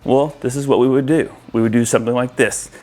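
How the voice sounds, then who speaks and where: A man with a mid-pitched voice gives a casual walkthrough as an installer. He speaks informally and directly to his viewers, explaining hands-on work as he goes. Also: fairly even intonation, quick pace, darkish tone. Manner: informal, addresses viewers as guys. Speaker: audio-video installer presenting to viewers.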